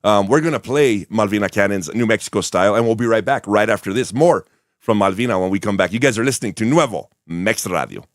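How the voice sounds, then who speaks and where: A man hosting a live radio show and interviewing a guest, his voice hoarse and raspy, talking in a relaxed, rambling way with many fillers. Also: lowish voice, quick pace, dry recording, clean studio sound. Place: studio, streamed live on camera.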